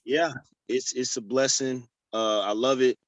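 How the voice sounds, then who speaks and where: An easygoing man from Houston, Texas introduces himself on a video call and slips in a joke as he goes. His voice is mid-pitched and sounds dry and close, with no room echo. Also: steady tone, a little slow. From Houston, Texas, USA. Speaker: actor. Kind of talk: casual video call chat.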